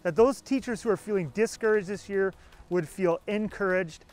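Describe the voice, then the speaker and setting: A man's voice: sits high, moderate pace, darkish tone, faint music behind. A man in his forties, a pastor, speaking earnestly and energetically outdoors on a lapel mic. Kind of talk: enthusiastic church video address. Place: outdoors beside a building.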